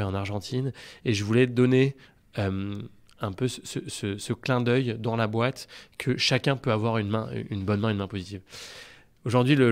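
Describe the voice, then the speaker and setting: A man speaking conversationally as an interview guest, earnest, often repeating words as he searches for the next one. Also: medium pitch. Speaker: podcast guest, company founder.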